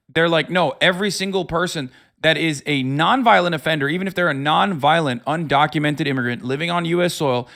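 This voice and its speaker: A man's voice: on the high side, creaky, a bit bright. A man giving unscripted live political commentary as a streamer, swearing freely and speaking in an emphatic, mocking way.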